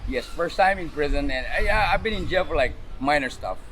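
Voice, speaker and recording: A man's voice: on the high side, expressive, brisk, a bit bright, poor audio. A middle-aged man from the Philippines, talking loosely with lots of fillers as he is interviewed outdoors in a city. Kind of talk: casual street interview. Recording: outdoor street recording with traffic. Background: traffic noise.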